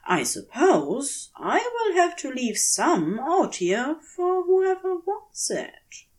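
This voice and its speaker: A woman voicing a gentle, hopeful, easily delighted man in a fiction podcast, polite and full of soft wonder. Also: high-pitched, wildly swooping pitch, takes it slowly, pitched above the performer's own voice, exaggerated voice. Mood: hopeful, airy. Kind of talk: acted dialogue in fiction podcast.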